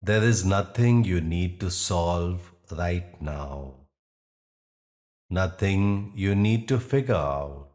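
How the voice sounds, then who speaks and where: A man guiding a meditation in soothing, measured phrases with long, deliberate pauses. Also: low voice, darkish tone. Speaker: meditation guide. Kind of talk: guided meditation narration.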